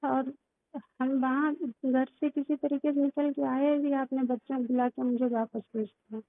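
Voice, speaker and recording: A high-pitched woman speaks on a phone call with thin, band-limited sound. She argues privately, pressing her point with insistent reproach. The line is dry, with little room sound. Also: level tone, moderate pace, no echo. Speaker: private caller.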